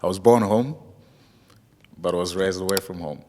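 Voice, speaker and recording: A man from DR Congo who grew up in South Africa, chatting casually as a guest, halting and repeating his phrases. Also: deep voice, warm tone, a little hiss or hum. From born in DR Congo, raised in South Africa. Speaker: interview guest, MMA fighter. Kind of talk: casual chat. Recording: reverberant hall-like room.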